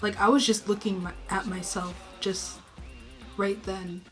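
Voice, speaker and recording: Subdued teenage girl rambling low. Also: fairly even intonation, a little slow, a bit bright, soft background music. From New York State. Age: teens. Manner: low subdued voice, self-conscious, rambling with many fillers. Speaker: vlogger. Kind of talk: casual rambling to camera. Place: her bedroom. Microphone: camera in her room.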